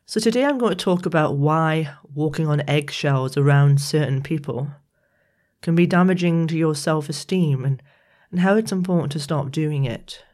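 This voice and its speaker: A woman hosting a reflective solo podcast, talking in a gentle, measured way while congested and croaky from a viral infection. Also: low-pitched. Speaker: podcast host.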